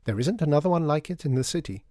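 A man voicing a proud, brisk woman grocer in a storybook, speaking in emphatic declarations. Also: a little high, lively intonation, dark tone, no echo, clean studio sound, voice pushed up, close to an everyday voice. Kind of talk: storybook character voice.

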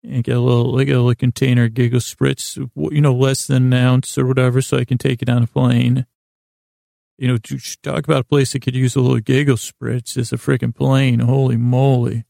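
A man hosting a sleep podcast in a creaky voice, meandering haltingly in lulling, soothing tones, repeating himself and trailing off. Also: medium pitch, level tone, warm tone, no echo, studio quality. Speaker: podcast host. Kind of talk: rambling sleep-podcast monologue.

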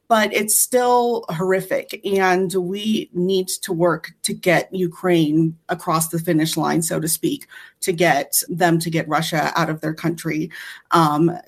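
A woman speaking as a guest in an earnest, conversational appeal. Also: fairly low pitch. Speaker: guest, aid charity worker.

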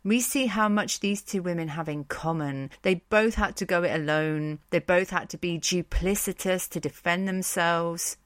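A woman's voice: lowish voice, a bit bright, no room sound, clean studio sound. A woman hosting a podcast, giving conversational commentary with wry, informal asides. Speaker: podcast host and storyteller. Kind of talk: conversational commentary on myth and books.

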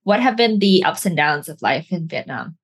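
A woman's voice: lowish voice, expressive, moderate pace, slightly rough. A woman hosting an interview, asking friendly, curious questions. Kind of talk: casual interview conversation.

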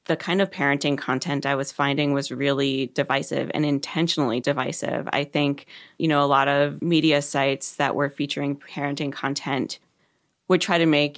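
A middle-aged woman with a deep voice, talking thoughtfully in a conversational interview and laughing easily. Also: fairly even intonation, normal speed. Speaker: podcast host, guest.

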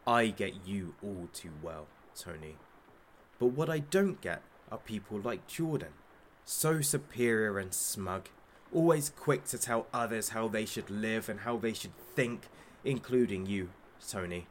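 Theatrical, cruelly mocking masked show host. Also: male voice, lowish voice, animated, a little slow, a little hiss or hum. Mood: smug menace. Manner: mocking, showman-like, sarcastic. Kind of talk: audio drama acting.

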